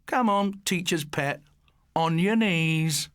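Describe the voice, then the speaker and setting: A man's voice: a little high, slow, smooth, no room sound, clean studio sound, pitched above the performer's own voice. A man voicing a sneering, insolent schoolboy bully who taunts and jokes in an audiobook. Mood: taunting. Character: sneering schoolboy bully, cheeky class joker. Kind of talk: audiobook character voice.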